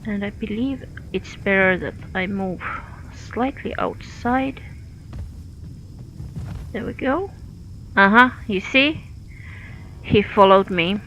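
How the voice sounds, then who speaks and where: A woman's voice: medium pitch, lively intonation, slow pace, long pauses, fairly bright tone, muffled recording, a little hiss or hum. A woman from Finland with a Finnish accent, thinking aloud with many fillers while she streams a game. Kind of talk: live gameplay commentary.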